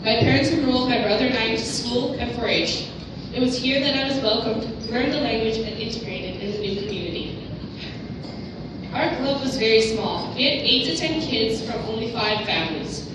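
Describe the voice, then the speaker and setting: A woman's voice: fairly high pitch, moderate pace, noisy background. A young woman from the Netherlands, Dutch her first language, giving an earnest prepared speech at a conference luncheon. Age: young adult. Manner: earnest, reading from notes. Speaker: award recipient giving a speech. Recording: distant, echoey hall with loud ambience.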